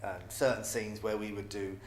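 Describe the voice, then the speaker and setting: A man's voice: on the low side, little pitch movement, moderate pace. A middle-aged man in a casual interview about stage work, often repeating and restarting his phrases. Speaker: pantomime dame performer. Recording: echoey room with faint background noise.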